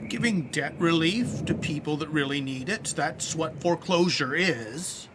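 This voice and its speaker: A man plays a self-justifying evicted banker in a satirical audio play. His fairly high voice moves expressively as he deflects glibly, with clear street noise around him. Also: lively intonation, measured pace. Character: evasive, self-justifying evicted male bank executive.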